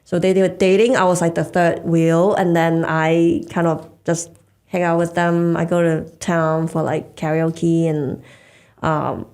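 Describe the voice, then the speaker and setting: A woman's voice: on the low side, little pitch movement, measured pace, a little mellow. A woman, a Cantonese speaker, chatting as a podcast guest with lots of fillers and restarts. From Hong Kong. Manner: hesitant, trailing restarts and many fillers. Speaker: guest, stand-up comedian. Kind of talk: casual conversation.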